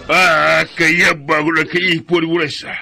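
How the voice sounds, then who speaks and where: A man's voice: fairly high pitch, level tone, unhurried, creaky, pushed and bright, soft background music, heavily acted. An actor with a Cebuano Filipino accent playing a stern, protective older father in a radio drama. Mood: indignant, commanding.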